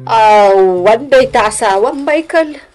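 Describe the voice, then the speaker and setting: A woman's voice: a little high, expressive, slow pace, music in the background. A woman acting an older, meddling mother-in-law who scolds and complains in a radio drama. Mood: complaining. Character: meddling elderly mother-in-law, reproachful and self-righteous.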